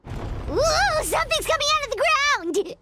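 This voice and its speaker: Tiny squeaky game sidekick girl, super high n swooping all over, naive n excitable, music behind. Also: unhurried, heavily acted. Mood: startled, confused. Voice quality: squeaky, childlike. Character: small childlike female sidekick, naive and excitable. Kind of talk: video game voice acting.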